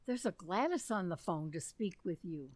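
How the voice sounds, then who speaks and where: A woman playing a matter-of-fact middle-aged mother, unhurried, in old-time radio comedy style. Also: fairly low pitch, expressive, no echo.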